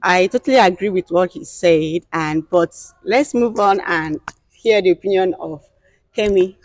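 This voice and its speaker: A woman's voice: on the low side, normal speed, no echo. A woman from Nigeria hosting a casual discussion, talking with many hesitant fillers. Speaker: host.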